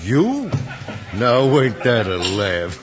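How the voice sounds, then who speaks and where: Saloon tough, radio western: animated, folksy, American Western accent, medium pitch, muffled vintage sound. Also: male voice, drawn-out words, over music, full character voice. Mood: mocking, amused. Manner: folksy, drawling. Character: mocking western saloon tough, outlaw henchman. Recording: muffled old-time radio recording.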